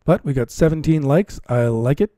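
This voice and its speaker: A man's voice: a little high, lively intonation, normal speed, dark tone, dry recording, clean studio sound. A man hosting a live stream, commenting casually and chatting with viewers, often repeating phrases for emphasis. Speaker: livestream host and cryptocurrency trading commentator.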